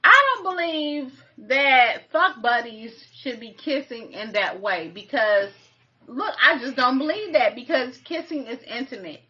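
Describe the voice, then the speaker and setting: A woman's voice: sits high, expressive, a little slow, sharp tone. A woman in her thirties co-hosting a casual live chat from a home kitchen, talking frankly and plainly. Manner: frank, matter-of-fact, uses "you know". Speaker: co-host. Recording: muffled lo-fi webcam audio.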